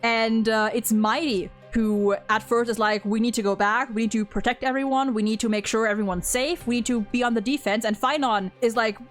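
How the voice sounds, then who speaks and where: A woman's voice: fairly high pitch, fairly even intonation, a little fast, few pauses. A young woman in casual fan discussion of a game story, talking in an enthusiastic, informal way peppered with "like". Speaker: video game streamer and discussion host. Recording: music playing behind the voice. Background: music.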